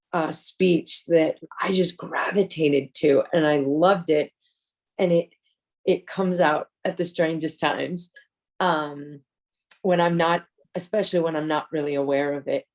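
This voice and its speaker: Chatty low-voiced woman co-host. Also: darkish tone. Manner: chatty and personal, laughs easily, many fillers. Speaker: podcast co-host. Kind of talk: casual podcast discussion.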